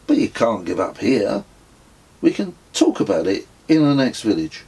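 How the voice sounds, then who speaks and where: A man's voice: fairly low pitch, very animated, moderate pace, gravelly, warm tone, husky, heavily acted. A man voicing an exasperated, pleading father in audiobook dialogue, urgent and imploring. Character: exasperated, pleading father coaxing his young son.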